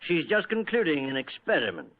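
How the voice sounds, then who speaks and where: A polite, admiring laboratory assistant in a vintage radio play. His speech is formal and deferential, with lively pitch. The recording is narrow and tinny. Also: fairly quick. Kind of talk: vintage radio drama acting.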